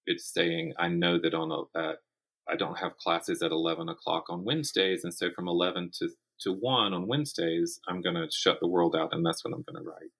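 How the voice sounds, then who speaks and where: A man's voice: low-pitched, fairly even intonation, brisk, gravelly, a bit bright, husky. A middle-aged man speaking as an interview guest, a writer talking thoughtfully and conversationally, often restarting his phrases. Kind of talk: conversational interview about writing craft.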